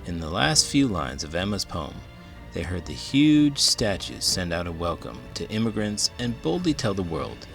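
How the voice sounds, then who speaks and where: A man's voice: low voice, sing-song, moderate pace, creaky, a bit bright, soft background music. A man reading a children's book aloud as a host, with dramatic, emphatic delivery. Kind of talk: expressive storybook read-aloud.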